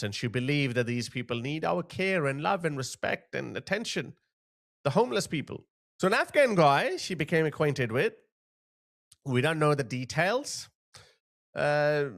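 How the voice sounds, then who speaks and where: A man's voice: medium pitch, a bit bright. A man from Pakistan hosting an opinionated commentary, talking indignantly with sarcastic asides and restarts. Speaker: commentary channel host.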